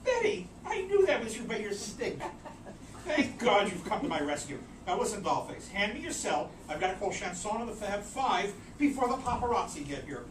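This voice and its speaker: Storybook comedy: woman as vain, wisecracking mermaid diva, very lively pitch, echoey live room. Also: moderate pace, close to an everyday voice. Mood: sassy. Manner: imperious, wisecracking, theatrical. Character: vain, brassy mermaid diva, a sassy cabaret singer. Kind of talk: live dramatic story reading. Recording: noisy, echoey room from far off.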